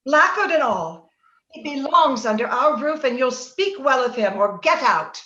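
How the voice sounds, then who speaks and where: A woman's voice: high-pitched, lively intonation, measured pace, sharp tone, heavily acted. A woman acting a passionate, willful young woman of the moors in an old-time radio drama, theatrical and impetuous. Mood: angry, defiant. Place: indoor room, remote video-call session. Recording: roomy, dull video-call audio, faint noise.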